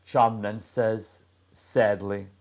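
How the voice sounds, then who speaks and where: A man's voice: lowish voice, fairly even intonation, drawn-out words, darkish tone. A man narrating a children's story as a read-aloud, with wondering asides.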